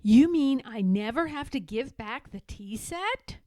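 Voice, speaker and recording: A woman voicing a sly, firm young girl in a storybook, speaking with deliberate emphasis. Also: high-pitched, lively intonation, mellow, no room sound, studio quality. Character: young girl, firm, sly, singsong, standing her ground.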